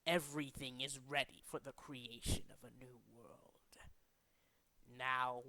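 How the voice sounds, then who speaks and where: A man voicing a cold, megalomaniac villain boss in a dramatic, deliberate video game monologue. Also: medium pitch, fairly even intonation, sharp tone, dry recording. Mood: cold, quiet, aloof. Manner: grandiose, deliberate, menacing. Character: cold, megalomaniac male villain boss bent on remaking the world. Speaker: amateur voice actor in demo reel.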